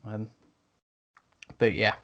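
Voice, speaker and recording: A man's voice: extremely slow, muffled recording, a little hiss or hum. A man hosting a podcast, chatting informally as he reviews and recommends things. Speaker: podcast host. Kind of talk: casual podcast review chat.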